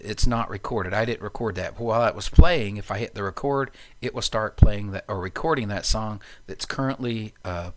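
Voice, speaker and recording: Male tutorial presenter, fairly low pitch, informal and unscripted, explains software step by step. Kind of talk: informal screencast walkthrough.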